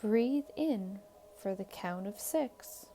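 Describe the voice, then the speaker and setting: Calm lady doing guided meditation, super slow w long pauses, mellow soothing voice, bit of music behind. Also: mid-range voice, slight background noise. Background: background music.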